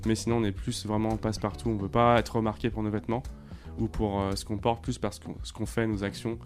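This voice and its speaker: A man in his thirties, from Côte d'Ivoire, co-founder of a sneaker brand, chatting casually and relaxed in an interview, with lots of fillers and restarts. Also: on the low side, fairly quick, faint music behind. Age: 30s.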